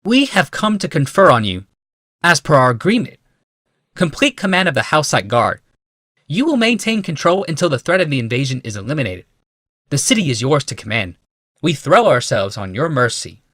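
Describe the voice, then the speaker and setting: A man's voice: on the high side, sing-song, moderate pace, a bit bright, dry recording, clean studio sound, voice pushed up. A man voicing a regal, dignified old woman elder in an audiobook, with a formal, stately and grave delivery. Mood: solemn, submissive. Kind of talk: audiobook character dialogue.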